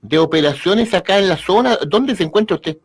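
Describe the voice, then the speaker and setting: A man's voice: high-pitched, lively intonation, fairly quick, gravelly, husky. A man hosting an interview, courteous, often repeating words and stammering as he asks questions. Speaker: radio show host.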